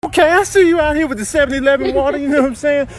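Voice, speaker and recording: A man improvising comic voiceover in an exclamatory, slangy manner with drawn-out interjections. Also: very high voice. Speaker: comedian doing animal voiceovers. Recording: clear background noise.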